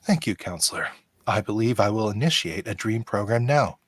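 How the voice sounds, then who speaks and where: A man playing a polite, curious android officer in an amateur radio play, formal and measured, with a husky voice. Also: fairly low pitch, animated, no room sound, clean studio sound.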